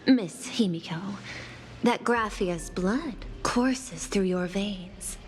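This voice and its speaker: An actress playing a poised, calculating corporate envoy in a video game, speaking formally and deliberately. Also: female voice, mid-range voice, lightly acted. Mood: persuasive, confident. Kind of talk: scripted video game dialogue. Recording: long reverb, clear music underneath.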